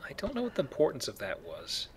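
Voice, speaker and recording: A man's voice: medium pitch, wildly swooping pitch, fast, noisy background. A man hosting a casual let's play, chatting as he plays a video game. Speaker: video game player and host. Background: video game sounds.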